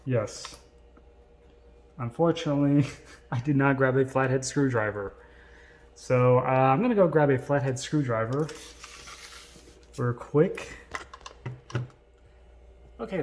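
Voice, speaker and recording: A man talks casually through an unboxing in a halting, off-the-cuff way. His voice sits at an average pitch. There is faint noise with small clicks behind him. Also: darkish tone. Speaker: vlogger doing an unboxing. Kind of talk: casual unscripted product unboxing commentary.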